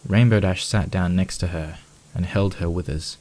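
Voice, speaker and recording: A man's voice: deep voice, normal speed, darkish tone. A man reading scripted story narration as the narrator of a piece of fan fiction.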